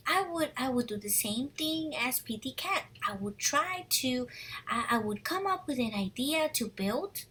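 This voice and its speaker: Husky, animated woman reading to small children. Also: high-pitched, unhurried. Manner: animated, encouraging, addresses children directly. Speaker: preschool teacher reading a picture book aloud. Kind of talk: read-aloud lesson with questions to young children.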